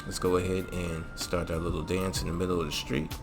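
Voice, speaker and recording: A man's voice: deep voice, level tone, quick pace, creaky. A man hosting a casual, slangy video game mod tutorial in an informal, laid-back way. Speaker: gaming channel host. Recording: music behind the voice. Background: music.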